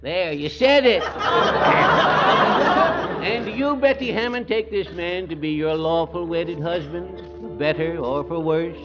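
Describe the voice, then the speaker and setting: A man's voice: on the high side, measured pace, muffled recording, loud music behind the voice, natural voice. An actor playing a bumbling male judge who fumbles through a wedding with chuckles and hesitant "uh"s, in a 1940s radio comedy. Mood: flustered.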